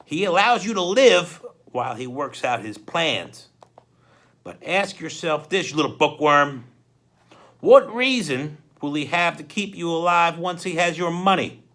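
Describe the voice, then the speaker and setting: Storybook villain's henchman, played by a male reader: croaky, loud, higher-pitched, threatening, sharply emphatic. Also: expressive, unhurried, full character voice. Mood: menacing. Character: menacing hook-handed henchman, sneering threatening man.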